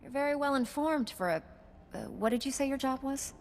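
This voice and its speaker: An actress playing a calm, self-assured warrior heroine in a video game, speaking in a composed, measured way. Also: female voice, sits high, a little hiss or hum, lightly acted.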